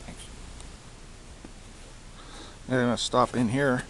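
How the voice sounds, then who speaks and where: A man giving a casual show-and-tell of his gear, speaking haltingly and often repeating himself as he searches for words. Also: mid-range voice, little pitch movement. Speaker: hobbyist presenter. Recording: hissy amateur home recording.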